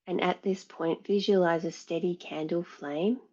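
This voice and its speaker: A woman yoga teacher leading a guided meditation in a measured, soothing way, pausing between phrases. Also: lowish voice, fairly even intonation, mellow, dull audio.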